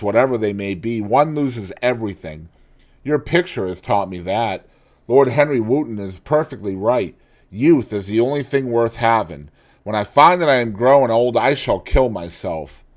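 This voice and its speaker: A man reading aloud and voicing an anguished, vain young man, impassioned and pleading. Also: lightly acted. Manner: impassioned, pleading, high and drawn-out.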